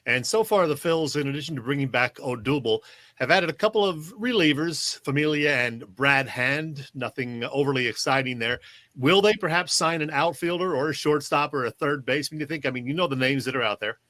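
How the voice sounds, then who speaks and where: A man with an American accent hosts a sports talk interview in a bright, forceful voice. The sound is dry, and his questioning is conversational. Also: a little high, brisk, no echo. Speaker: sports show host, interviewer.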